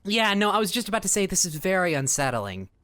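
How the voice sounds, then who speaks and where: A woman's voice: medium pitch, big pitch swings, quick pace, fairly bright tone, no echo. A woman playing an eager, goofy, chatty adventurer in an improvised comedy audio drama, rambling and hesitant in a giggly way. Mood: upbeat, casual.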